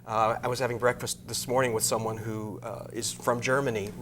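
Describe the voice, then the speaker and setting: A man speaking as an interview guest and arts director, explaining things in measured phrases. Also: medium pitch, little pitch movement, a bit bright. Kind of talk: interview talk about a concert program. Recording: clear background noise with hum.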